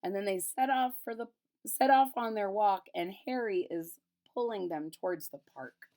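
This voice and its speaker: Female storybook reader, mid pitch, expressive read-aloud with casual, explanatory asides for children. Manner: expressive, explanatory, pausing to retell the story simply.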